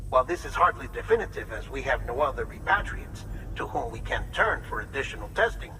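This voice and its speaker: A man's voice: medium pitch, sing-song, bright, forceful tone, a little hiss or hum, low-quality recording. A man playing a formal, analytical scientist giving a precise briefing in a video game cutscene. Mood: measured, earnest. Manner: precise, formal, measured wording.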